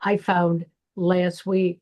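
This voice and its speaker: A woman with a gravelly voice hosting a podcast, telling a personal story in a reflective way. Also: fairly low pitch, level tone, extremely slow, a little mellow. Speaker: podcast host. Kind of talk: personal conversation.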